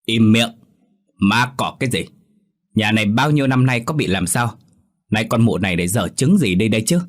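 A man doing a gruff cursing old husband. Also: fairly low pitch, fast. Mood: scolding. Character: coarse, bad-tempered old husband.

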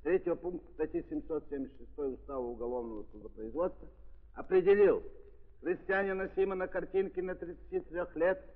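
An actor playing a presiding judge who reads out formally and declaims in an official manner, in a 1930s audio drama. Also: male voice, sits high, natural voice. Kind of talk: 1930s Russian radio drama acting. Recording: tinny 1930s recording in reverberant hall.

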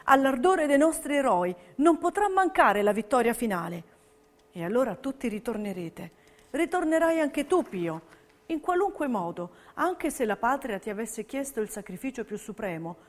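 A woman's voice: a little high, sing-song, normal speed. An actress playing an earnest, patriotic young Italian woman in a theatrical wartime letter reading. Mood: fervent, solemn. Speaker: stage actress narrating historical testimonies. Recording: long hall reverb.

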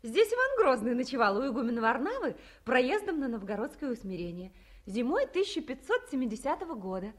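A woman's voice: high-pitched, very animated, a little fast. An actress playing a timid, eager nineteen-year-old girl in a 1950s radio play. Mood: shy eager. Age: young adult. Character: shy, bright young woman of nineteen.

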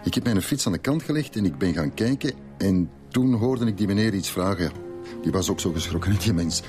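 A man's voice: low voice, expressive, brisk, a little mellow, soft background music. An actor playing an evasive, hesitant male witness in a radio drama, with a Flemish accent. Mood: uneasy.

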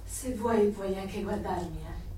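A woman's voice: medium pitch, a little slow, mellow, lightly acted. An actress playing a bold, blunt rebel woman in a dystopian radio drama, teasing and challenging as she speaks. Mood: teasing, reassuring. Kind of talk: radio play acting. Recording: very echoey, distant, faint noise.

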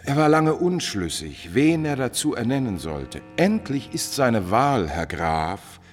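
Smug courtier, swooping and theatrical. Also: male voice, mid-range voice, sing-song, a little slow, in a large hall, faint music behind. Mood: smooth self-importance. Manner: pompous, oily formality, theatrical exclamations. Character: smug, scheming courtier, haughty emissary of a prince. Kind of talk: mid-century German classical radio play acting.

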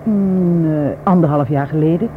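A woman's voice: low voice, normal speed, natural voice. An actress playing a concerned, thoughtful woman in a radio play, talking in a reflective, conversational way. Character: concerned, thoughtful woman friend back from travels. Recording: noisy, tinny narrow-band radio play recording.

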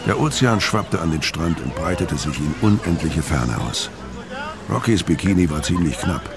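An actor in a radio crime drama playing a laconic, brooding, world-weary middle-aged tough guy. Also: sits low. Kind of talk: first-person narration in radio crime drama.

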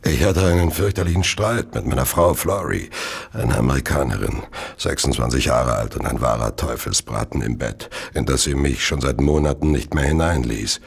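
James Maybrick, gravelly abyss-deep confessing killer. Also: male voice, normal speed, exaggerated voice. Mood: brooding. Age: 50s. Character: James Maybrick, drug-wrecked fifty-year-old merchant, confessed killer. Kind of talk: radio drama first-person confessional narration.

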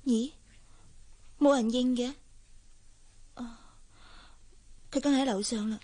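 聲線高、講港式粵語嘅傷心年輕女傭. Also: female voice, on the high side, steady tone, takes it slowly, warm tone. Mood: polite. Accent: Hong Kong Cantonese. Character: young housemaid, heartbroken, reserved, stubbornly proud. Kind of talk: radio drama acting.

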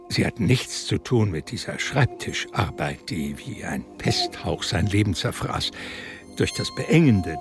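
Male narrator, literary radio drama, fairly low voice, lively intonation, music underneath.

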